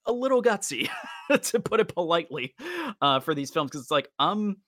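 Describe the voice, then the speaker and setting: A man's voice: fairly high pitch, sing-song, normal speed, fairly bright tone, dry recording, studio quality. A man hosting a casual podcast, talking in wordy, roundabout and slightly formal phrases. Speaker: podcast host.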